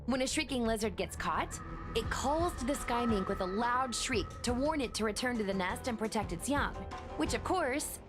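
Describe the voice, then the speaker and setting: A woman's voice: a little high, lively intonation, normal speed, lightly acted. An actress playing a blunt, impatient young woman adventurer in a game cutscene. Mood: brisk. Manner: blunt, impatient, matter-of-fact. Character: brash young woman adventurer planning a trap. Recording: voice over game music.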